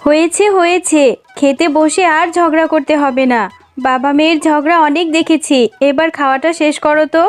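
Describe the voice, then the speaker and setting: A woman voicing a practical mother fox, a homely village wife, in an expressive children's cartoon style. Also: high voice, normal speed, soft background music, natural voice. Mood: scolding.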